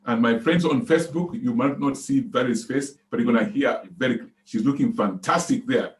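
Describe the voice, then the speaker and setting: A man hosting an online seminar interview, enthusiastic and halting with fillers. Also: mid-range voice. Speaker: seminar host. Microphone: video call.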